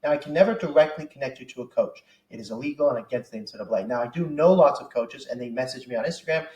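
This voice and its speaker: A young man who works as a college soccer recruiting advisor gives a casual, persuasive sales pitch, talking in a chatty way with lots of fillers. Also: racing, darkish tone, muffled recording. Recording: echoey room, distant mic.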